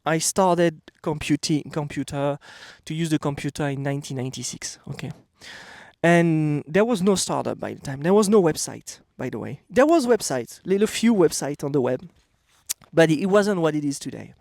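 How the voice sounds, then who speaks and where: A man's voice: on the high side, expressive, moderate pace, creaky, darkish tone, no echo, studio quality. A man in his thirties with a French accent, giving a blunt, emphatic motivational talk to camera outdoors. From France. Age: 30s. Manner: blunt, emphatic, repeats "okay?". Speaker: vlogger.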